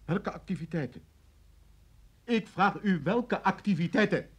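An actor playing a flustered, protesting suspect of about forty in a radio play, halting, stammering and insistent. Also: male voice, high voice, lively intonation, slightly rough, heavily acted. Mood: indignant. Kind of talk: Dutch radio play acting.

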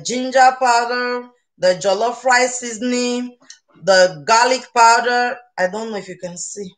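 Casting: female cooking host, Nigerian accent, playful and repetitive, sharp bright tone, slow casual delivery. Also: on the high side. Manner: playful, exuberant, repeats phrases. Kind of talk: casual live-stream cooking chat in English and Pidgin. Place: home kitchen, indoors. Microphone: webcam or phone camera at arm's length to a few steps away.

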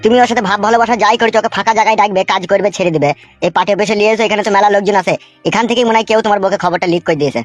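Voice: mid-range voice, rapid-fire, no room sound, faint music behind, natural voice. A voice actor playing a foul-mouthed male henchman in a cartoon, crude and mocking. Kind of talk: cartoon voice acting.

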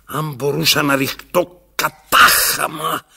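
Radio play: imperious, self-pitying old man, bright, forceful, rasping delivery, highly theatrical. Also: flat delivery, exaggerated voice. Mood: weary, brooding. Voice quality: raspy, gravelly. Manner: imperious, dramatic, pausing and repeating phrases. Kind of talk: theatrical radio drama acting.

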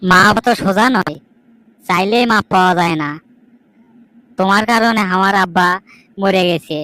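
A woman's voice: mid-range voice, normal speed, a bit bright, dry recording, close to an everyday voice, rough recording. Woman voicing a wronged, proud younger brother. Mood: indignant. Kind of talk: amateur cartoon dialogue.

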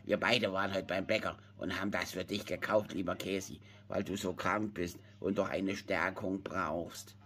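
A man putting on a high, eager voice for a chirpy puppet friend in a homemade puppet play. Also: steady tone, moderate pace, few pauses, fairly bright tone.

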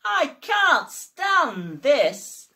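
Storybook bear, played by a man: high, slow, melodramatic wail, worn out and exasperated. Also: wildly swooping pitch, creaky, bright, forceful tone, full character voice. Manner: drawn-out, wailing, melodramatic.

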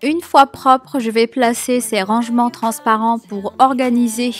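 A woman's voice: on the high side, fairly even intonation, a little slow, faint music behind. A young woman narrating a cleaning video voice-over, sharing tips with enthusiasm and frequent hesitations. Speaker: home cleaning vlogger.

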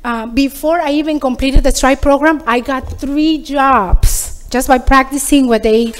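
A middle-aged woman from Peru speaks, her voice sitting slightly high. She delivers a prepared speech in an earnest, measured way, now and then restarting a word. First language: Spanish. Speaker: program graduate giving a speech.

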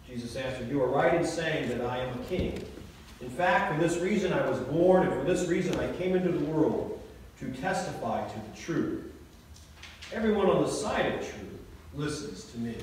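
A middle-aged man speaks as a pastor from the pulpit, heard from some way off. The church rings heavily around his somewhat high voice, with faint noise underneath. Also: heavy reverb, far from the mic, poor audio. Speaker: Lutheran pastor. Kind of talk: gospel reading and sermon.

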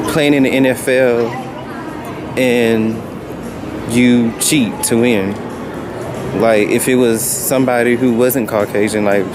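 Young male vlogger, unhurried and rambling, phone at arm's length, loud music behind. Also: mid-range voice. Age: young adult. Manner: slow, rambling and opinionated, with frequent ums. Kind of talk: casual vlog monologue. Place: shopping mall, then inside a car. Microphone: handheld phone camera at arm's length.

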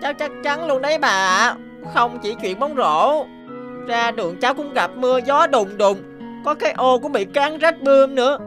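A nine-year-old boy in a cartoon. He is sulky and self-pitying, complaining in a high, whining voice. Faint music sits behind. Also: expressive, normal speed. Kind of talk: cartoon dubbing.

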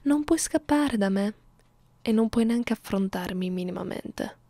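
A woman playing a young, haughty, predatory female vampire in an ASMR roleplay, moving between whispers and soft speech in a condescending, teasing way. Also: mid-range voice, lively intonation, dry recording. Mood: predatory, taunting. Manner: slow, teasing, condescending, menacing. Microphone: close ASMR microphone.

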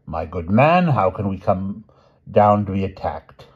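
A stiff, pompous old knight, voiced by a man, huffs in a low, husky voice. He sounds affronted and clipped. The recording is dull and lo-fi. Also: big pitch swings, a little slow, exaggerated voice. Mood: indignant. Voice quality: stiff, blustering upper-class manner. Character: pompous, testy old knight stuck up a tree.

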